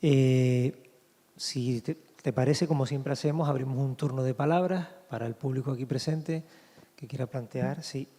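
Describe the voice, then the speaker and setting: A man's voice: medium pitch, fairly even intonation, moderate pace, dark tone. A man moderating a lecture, formally thanking the speaker and opening questions to the audience. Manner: courteous, formal. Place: lecture hall. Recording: lecture hall with long reverb.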